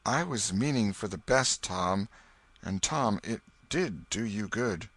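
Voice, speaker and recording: A man voicing a stern but soft-hearted old woman with sharp, old-fashioned country speech. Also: fairly low pitch, animated, slow, no echo, heavily acted. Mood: gentle.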